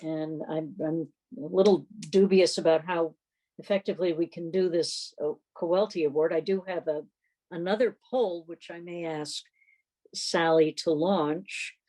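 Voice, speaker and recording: Slow-talking woman with a lower voice. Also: steady tone, warm tone, rough recording. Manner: halting, rambling. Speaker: club meeting host. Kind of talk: casual meeting chairing. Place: video call.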